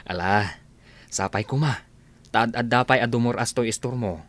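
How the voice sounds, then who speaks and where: A man's voice: medium pitch, moderate pace, close to an everyday voice. A man playing an easygoing, generous village husband who lends money, plain-spoken in an Ilocano radio comedy drama. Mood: encouraging.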